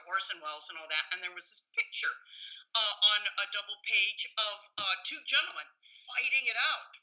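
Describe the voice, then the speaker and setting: A woman's voice: mid-range voice, bright, forceful tone, low-quality recording. An American woman around sixty, a professor chatting enthusiastically and telling anecdotes as an interview guest. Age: 50s to 60s. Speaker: history professor and author, podcast guest.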